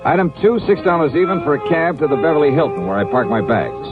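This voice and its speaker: A hard-boiled, wry investigator in an old radio drama. His clipped delivery swings in pitch. The recording is muffled and old, with loud music behind him. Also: sing-song, fast talker. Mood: dry, matter-of-fact. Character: brisk, wry male insurance investigator, hard-boiled narrator. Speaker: detective narrator and lead. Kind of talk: 1950s radio detective drama acting.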